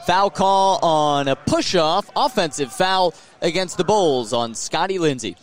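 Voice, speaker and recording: An American man doing live radio play-by-play of a basketball game, punchy and rising excitedly with the action. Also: a little high, unhurried. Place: live inside a sports arena.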